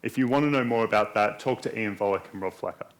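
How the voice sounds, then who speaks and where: Male tech speaker with a lowish voice, calmly walking an audience through demos. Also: fast talker. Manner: explanatory, steady walkthrough of code and demos. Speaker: conference speaker. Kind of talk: technical conference talk walking through demos.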